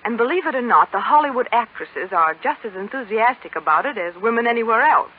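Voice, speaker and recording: A woman reporter reads a scripted item in 1930s radio style. Her voice is a little husky, and the old broadcast sounds thin and tinny. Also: on the high side, animated, a little fast.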